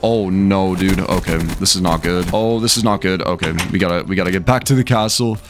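A young American man with a slightly hoarse voice, giving casual, slangy gameplay commentary as the host of a gaming video. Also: sits low, brisk. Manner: slangy, rambling, addresses viewers as boys. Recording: game music behind voice. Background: video game music.

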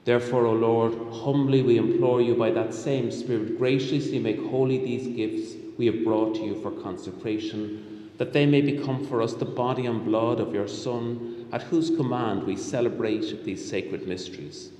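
A man in his fifties, a bishop reciting the prayers of Mass in a measured, solemn, formal way. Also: mid-range voice, fairly even intonation, warm tone. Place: large hospital chapel, indoors. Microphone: microphone on altar stand. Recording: echoey chapel with long reverb.

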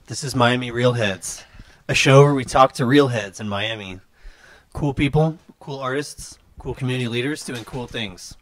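A man hosting a relaxed interview in a living room, talking loosely with many fillers into a handheld mic. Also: mid-range voice, normal speed. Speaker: host. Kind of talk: casual interview chat.

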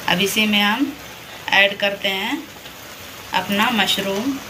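A woman talks a home cook through a recipe, calmly walking through each step. Her delivery is slow and patient, and she keeps drawing attention to what is in the pan. Loud sizzling from the pan sits under every word. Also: mid-range voice. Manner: patient, repeats "dekhiye" to point things out. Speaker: home cooking channel host. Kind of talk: step-by-step cooking demonstration voice-over. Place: home kitchen over a frying pan. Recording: loud frying noise under the voice. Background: loud sizzling and stirring of a pan.

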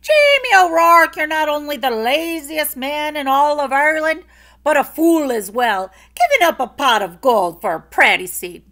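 A woman's voice: sits high, lively intonation, normal speed, fairly bright tone, voice pushed up. A woman voicing an angry peasant wife scolding her husband. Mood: furious.

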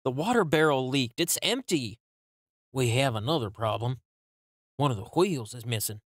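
A man's voice: mid-range voice, lively intonation, normal speed, no room sound, clean studio sound, close to an everyday voice. A man voicing a sensible, exasperated little cartoon creature in a comic dub, earnest and admonishing. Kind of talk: comic book dub acting.

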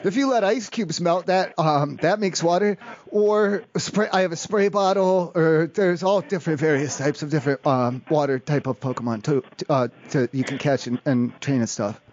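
A man's voice: on the high side, normal speed, darkish tone. A man making a prank phone call, rambling in a feigned naive way with stammering restarts. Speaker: prank caller.

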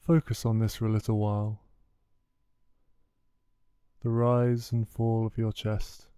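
A man guides a meditation in a very dark, mellow voice with a smooth tone. His delivery is soothing and unhurried, leaving gentle pauses between instructions. The sound is close and dry. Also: lowish voice, level tone, dry recording. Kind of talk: guided body scan meditation.

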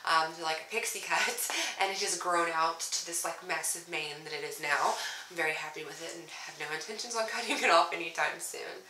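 A woman's voice: on the low side, vocal fry, pushed and bright, a little hiss or hum. A young woman vlogging casually at home, sounding nasal from a cold, chatty and apologetic, with lots of "um"s and little laughs. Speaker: craft vlogger. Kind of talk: casual chat to camera. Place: small room indoors at home. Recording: roomy, slightly distant camera audio.

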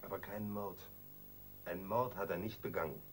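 An actor in a German radio crime drama playing a reluctant, conscience-stricken pharmacist husband who tends to yield. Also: male voice, low voice, fairly even intonation, brisk, a little hiss or hum, close to an everyday voice, low-quality recording. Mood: protesting.

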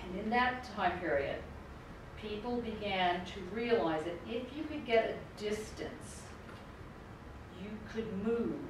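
A woman's voice: mid-range voice, expressive, slow. A middle-aged woman giving a university lecture in a conversational, wry manner, circling back to her points. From United States. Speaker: professor. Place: lecture room. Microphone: room mic far from speaker. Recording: echoey room, distant mic, background noise.

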